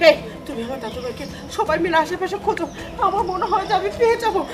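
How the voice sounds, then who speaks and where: A woman playing a bossy, excitable rich grandmother with a Bangladeshi accent, scolding and commanding in a web drama. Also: very high-pitched, quick pace, crowd noise. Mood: bossy, impatient. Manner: scolding, commanding, mixes in English phrases. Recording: music over outdoor dialogue.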